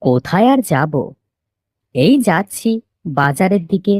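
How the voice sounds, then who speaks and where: A woman's voice: fairly low pitch, expressive, measured pace, darkish tone, dry recording, studio quality. A woman voicing a grumbling, henpecked village husband and father in a cartoon story. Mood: exasperated. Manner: grumbling, complaining.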